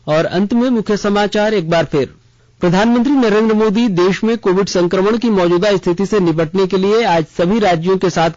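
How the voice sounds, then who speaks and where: A man with a notably high voice reads a scripted news bulletin. The sound is very dry and close, with the dull, lo-fi tone of a radio broadcast. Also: darkish tone, dry recording. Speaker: radio newsreader.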